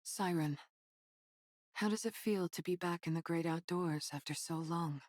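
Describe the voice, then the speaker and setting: A woman's voice: lowish voice, measured pace, darkish tone, no echo, studio quality. A woman playing a composed, playfully amused young female fighter in a game cutscene, wry and self-assured. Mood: warm, relaxed. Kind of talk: English game cutscene dub acting.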